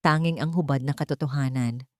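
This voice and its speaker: A woman with a Filipino accent narrating a scripted romantic drama in a solemn, emotive, deliberate way. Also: rumbling low, darkish tone, dry recording, studio quality. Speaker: storyteller.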